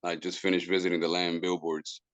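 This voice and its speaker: A man's voice: deep voice, little pitch movement, quick pace, fairly bright tone, no room sound. A man, a guest musician who talks casually, stumbles over repeated words and drops into Spanish phrases. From Puerto Rico. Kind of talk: casual interview talk.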